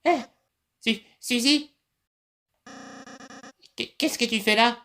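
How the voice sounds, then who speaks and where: A woman playing a timid but loyal little monster prince, a young boy, with halting, earnest delivery in a dramatic game comic dub. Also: fairly high pitch, pushed and bright. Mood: nervous, guilty.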